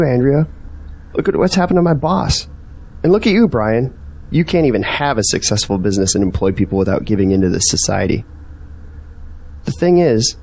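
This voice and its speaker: A man's voice: medium pitch, darkish tone. Earnest man, searching and persuasive, questioning manner, audio drama dialogue, acted by a man. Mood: earnest, pressing.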